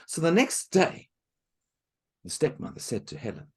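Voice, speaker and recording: A man's voice: a little high, huge pitch swings, moderate pace, breathy edge. A man telling a folk tale aloud to a group, with chatty asides to his listeners. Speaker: storyteller.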